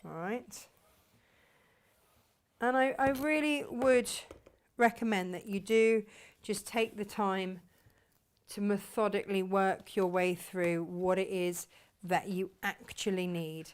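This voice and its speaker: A woman hosting a sewing tutorial, her voice a little croaky from hay fever, chatting casually to viewers while she cuts fabric. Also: medium pitch, fairly even intonation, slow. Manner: chatty, self-deprecating, talks to viewers while working. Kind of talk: casual craft demonstration.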